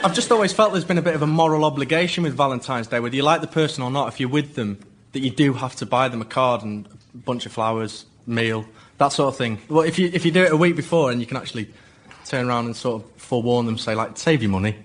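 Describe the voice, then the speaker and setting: A man making an informal comic pitch as a member of the public, plain-spoken and earnest. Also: fast talker, slight background noise. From Hull, England. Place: radio studio with live audience.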